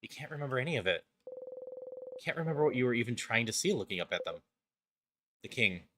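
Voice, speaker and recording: A man streaming a video game, chatting casually and reading its narration aloud in a thoughtful, relaxed way. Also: mid-range voice, fast, background noise. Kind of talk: casual gameplay chat and dramatic narration. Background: background music.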